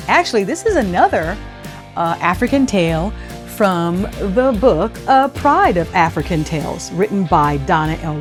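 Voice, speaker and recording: Woman reading stories aloud, animated pitch. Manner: expressive, teacherly, addressing children and parents. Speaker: host of a children's read-aloud storytime show. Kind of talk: expressive storybook reading with scripted instructions.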